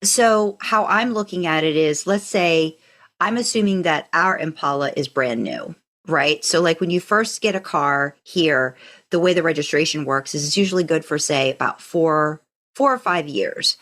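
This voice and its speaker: A woman's voice: low-pitched, normal speed, a bit bright. An American woman co-hosting a podcast, talking in a casual, conversational way. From United States. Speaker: podcast co-host. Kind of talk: casual fandom podcast chat.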